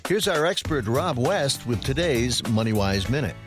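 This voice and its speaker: Man, radio announcer, scripted promo read, mid-pitched, music behind. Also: very animated, normal speed.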